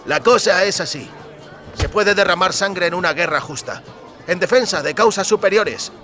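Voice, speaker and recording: An earnest young recruit as a male narrator plays him in a fantasy audiobook. The voice is pitched up and speaks with formal, indignant fervour. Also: normal speed, pushed and bright, faint music behind, some chatter behind. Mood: fervent. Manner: formal, fervent, patriotic. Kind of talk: dramatised fantasy audiobook dialogue.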